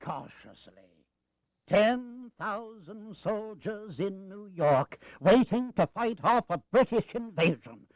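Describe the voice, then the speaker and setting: An actor playing an elderly, wry American statesman in an audio drama, speaking deliberately and sardonically. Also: high-pitched, animated, no echo, dull audio. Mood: sardonic.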